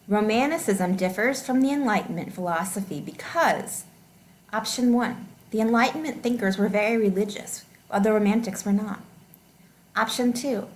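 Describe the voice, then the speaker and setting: A woman reading test questions and answer options aloud as a teacher, deliberately and with each option set clearly apart. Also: medium pitch, a little mellow.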